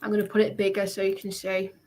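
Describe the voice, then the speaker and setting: A British woman presenting informally, reading a list aloud in a halting, explanatory way and adding casual asides. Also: medium pitch, completely flat delivery, a little mellow. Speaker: presenter going through party policies.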